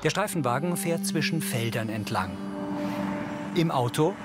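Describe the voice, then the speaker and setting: A man's voice: on the high side, lively intonation, measured pace. Male audio description narrator over music. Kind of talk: scripted scene narration. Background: background music.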